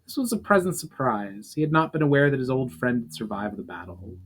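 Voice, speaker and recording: A man reading a fantasy story aloud as dramatic narration, deliberate and occasionally stumbling over words. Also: medium pitch, animated, fairly bright tone, breathy edge, low-quality recording. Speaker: writer reading own novel aloud.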